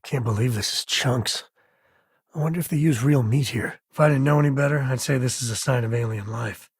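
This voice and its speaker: An actor playing a rugged, easygoing middle-aged space explorer and father in a video game, with a husky, folksy, wry, conversational delivery. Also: mid-range voice, brisk, no room sound, studio quality. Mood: wry, amused. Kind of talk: video game companion dialogue.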